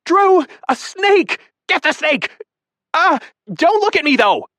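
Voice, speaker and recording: A man's voice: lively intonation, normal speed, no room sound. A man voicing a panicked young woman who shrieks and scolds frantically. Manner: shrill, frantic, yelling.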